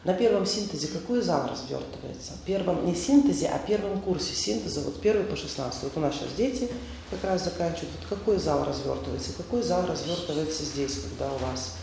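A man with a fairly high, gravelly voice leads a spiritual seminar, talking loosely and quizzing his listeners with rhetorical questions. He sounds far from the microphone in a strongly echoing hall. A faint noise sits behind him. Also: fairly quick, a little mellow. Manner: conversational, hesitant fillers, rhetorical questions. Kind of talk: informal lecture quizzing the audience. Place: large indoor hall.

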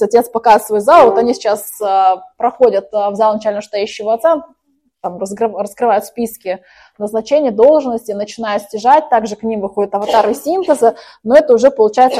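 Woman, group practice leader, mellow tone, middle pitch, chatty with fillers. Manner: chanting incantations, then chatty explanations with many fillers. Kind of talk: leading a group spiritual practice. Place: hall with a group.

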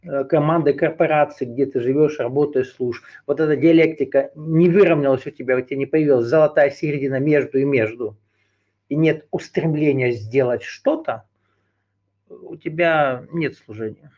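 A man's voice: on the high side, normal speed, a little mellow, lo-fi sound. Man lecturing in winding, rephrasing talk. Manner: discursive, circles back and rephrases, hesitant fillers. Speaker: teacher leading a group session. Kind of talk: unscripted esoteric lecture.

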